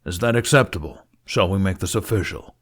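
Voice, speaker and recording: A man playing a stern middle-aged Viking chief in an audiobook, with a gruff, measured and commanding delivery. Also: sits low. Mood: formal, firm. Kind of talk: audiobook character acting.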